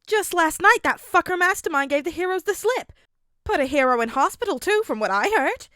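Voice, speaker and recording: Woman doing a loud sweary bossy mom, high pitched and blunt, for an audiobook. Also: animated, brisk, no room sound, clean studio sound, full character voice. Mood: outraged gossip. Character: loud brash foul-mouthed scolding mother. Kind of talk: audiobook character acting.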